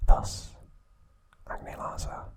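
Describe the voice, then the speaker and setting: A man hosting an ASMR video, recapping a story in a soft unvoiced whisper with small slips and self-corrections. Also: slow pace. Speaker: ASMR host.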